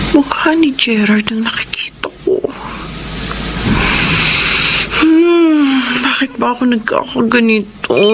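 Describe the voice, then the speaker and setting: A woman's voice: on the high side, heavy background noise. An actress playing a devoted, playful young woman who gets easily flustered, in a Tagalog love story drama. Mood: troubled, restless. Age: young adult. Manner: expressive, with teasing and hesitant stammers.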